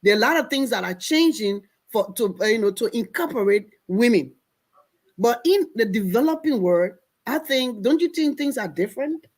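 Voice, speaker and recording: A woman's voice: on the high side, expressive, normal speed. A woman hosting a panel discussion, speaking thoughtfully with some restarts. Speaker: discussion host.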